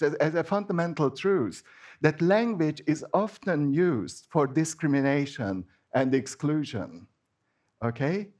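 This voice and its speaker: A man with a Hungarian accent, a native Hungarian speaker, giving an informal sermon in a chatty, hesitant way with many restarts. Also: on the high side. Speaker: preacher.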